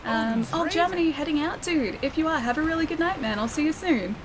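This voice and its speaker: A young woman streaming a game and chatting with her viewers, her voice a little croaky and scratchy from a sore throat, laughing often and calling people dude and man. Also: sits high, lively intonation, a little fast, a crowd in the background. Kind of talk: casual chat with live stream viewers.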